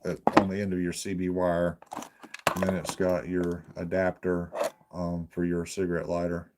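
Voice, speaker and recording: A man giving casual unboxing commentary, haltingly thinking aloud as he hosts his video. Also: low-pitched, background noise.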